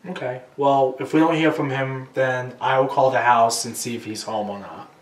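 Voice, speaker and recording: A man's voice: mid-range voice, steady tone, normal speed, natural voice. A young man playing an attentive, friendly friend in a naturalistic amateur found-footage film. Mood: gentle concern. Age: young adult. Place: small wood-panelled room indoors. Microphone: camera mic at a distance. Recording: roomy, noisy home camcorder sound, distant mic.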